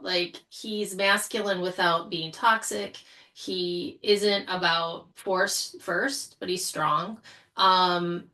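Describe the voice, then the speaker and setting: A woman guest on a casual podcast panel, thinking aloud in an animated, informal way. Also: mid-range voice. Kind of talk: casual chat.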